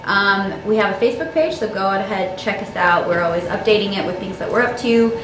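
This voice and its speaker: A woman co-host in a studio who talks in a chatty, brisk way. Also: mid-range voice, echoey room, noisy background. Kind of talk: casual chat, show outro.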